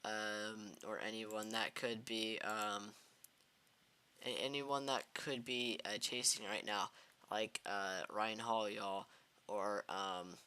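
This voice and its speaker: A man hosting live severe weather coverage, talking in a halting way with many fillers and restarts. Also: lowish voice, level tone, sharp tone, smooth. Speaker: livestream weather host.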